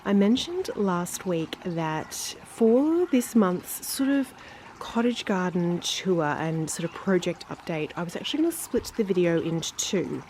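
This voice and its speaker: A woman's voice: on the low side, lively intonation, unhurried, a little mellow, slight background noise. A woman with a slightly nasal, congested voice, getting over an illness, giving a chatty, casual garden tour as a vlog host. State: recovering from illness, sinusy. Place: outdoors in a garden.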